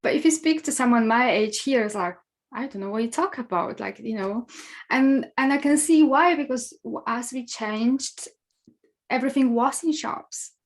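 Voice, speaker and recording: A woman's voice: on the high side, normal speed. A woman in her thirties with a Polish accent tinged with Scottish, speaking reflectively as a podcast guest. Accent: Polish with a touch of Scottish. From Poland. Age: 30s. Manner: reflective, thoughtful with frequent fillers. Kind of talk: conversational interview.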